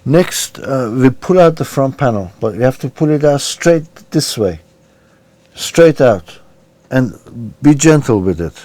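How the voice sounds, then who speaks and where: A man's voice: mid-range voice, animated, unhurried, dark tone, no room sound. A man giving an informal, unscripted step-by-step repair demonstration, talking hesitantly as he works with his hands. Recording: clear background noise, handling clicks.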